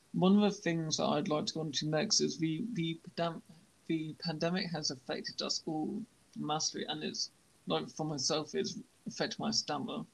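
A young man who stammers, hosting a podcast and speaking in a halting, reflective way. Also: fairly high pitch, vocal fry, low-quality recording. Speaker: podcast host. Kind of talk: podcast conversation.

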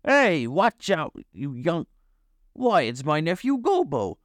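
A man puts on a self-important little creature uncle from a storybook. The voice is high and swooping. He tells of his adventures with boastful drama. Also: wildly swooping pitch, slow pace, no echo, studio quality, heavily acted. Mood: blustery, boastful.

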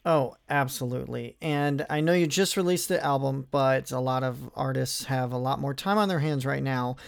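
A man's voice: mid-range voice, moderate pace, gravelly, clean tone, no echo. A man co-hosting a podcast, easygoing and upbeat, speaking close to the mic. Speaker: podcast co-host. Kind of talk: casual chat.

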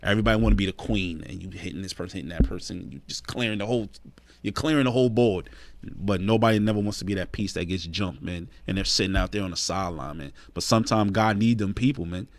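A man's voice: low-pitched, quick pace, dry recording. A man co-hosting a podcast, talking casually and a bit like a preacher, often adding "man" and agreeing with "right". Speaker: podcast co-host. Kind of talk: casual conversation.

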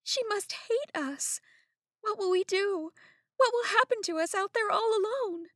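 A woman's voice: very high-pitched, lively intonation, measured pace, a bit bright, no room sound, studio quality, voice pushed up, exaggerated voice. A woman voicing a frightened, tearful little girl in a spoken fairy-tale reading. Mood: terrified. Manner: tearful, panicky.

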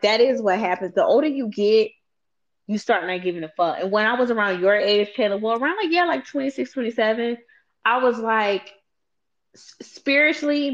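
Lively woman in her twenties, lo-fi. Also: medium pitch. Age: 20s. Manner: animated, playful teasing, talks to viewers directly. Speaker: host. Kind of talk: casual chat.

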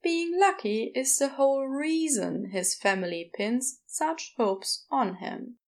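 A woman narrating scripted fiction in a measured, wry storytelling manner. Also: high-pitched, expressive.

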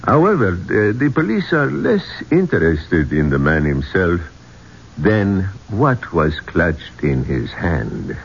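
A discreet, grave inspector in a 1970s radio drama. His voice is very deep and moves widely as he speaks in formal, measured phrases. The recording is dull, with some noise behind it. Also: very animated, creaky, heavily acted. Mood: ominous, measured. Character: grave, discreet male Egyptian police inspector.

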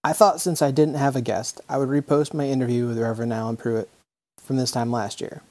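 A man's voice: medium pitch, fairly even intonation, brisk, darkish tone, no echo. Podcast host introducing his show. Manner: plain and brief, short acknowledgments. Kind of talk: podcast intro and interview questions.

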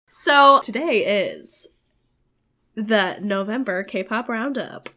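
A woman's voice: medium pitch, expressive, drawn-out words, heavy background noise. A woman co-hosting a podcast in casual chat, her voice nasal and congested from a cold, frank and playful. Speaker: podcast co-host. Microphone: home recording mic.

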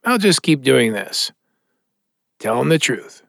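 A man's voice: mid-range voice, big pitch swings, takes it slowly, gravelly, fairly bright tone, no room sound, clean studio sound, exaggerated voice. A man playing a hallucinated dead man who needles and mocks in a blunt, sardonic way in an audio drama. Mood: accusing. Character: taunting hallucinated dead man, mocking and controlling.

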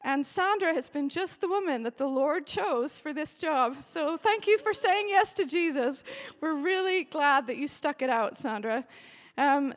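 A woman in her forties, a worship director, giving a chatty and emotional welcome to a church retreat in a large hall. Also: sits high. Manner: warm, chatty and emotional, with bursts of cheering. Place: large hall with a live audience. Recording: long hall reverb.

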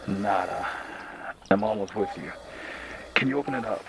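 A man playing a glib, cold-blooded traitor in an audio drama, casual and flippant even when threatening. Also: fairly low pitch, moderate pace, background noise, close to an everyday voice. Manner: casual, flippant apologies while menacing.

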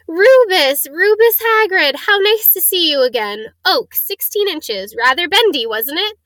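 A woman voicing an eerie, keenly knowing old male wandmaker from a storybook, soft-voiced and deliberate, with excitable exclamations. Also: extremely high pitch, dry recording, pitched above the performer's own voice, heavily acted. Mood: delighted. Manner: deliberate, clipped listing of details, exclamatory greetings. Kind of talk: storybook character reading.

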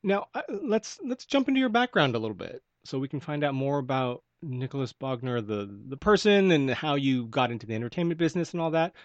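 A man's voice: medium pitch, sing-song, a little fast, hardly any pauses, dry recording. A man hosting a podcast, asking loose, conversational questions with fillers. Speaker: podcast host. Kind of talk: casual podcast interview. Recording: dry, slightly dull audio.

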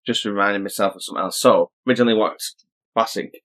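A man's voice: on the low side, steady tone, fast, fairly bright tone. A man co-hosting a podcast, telling rambling stories in casual chat. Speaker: podcast co-host.

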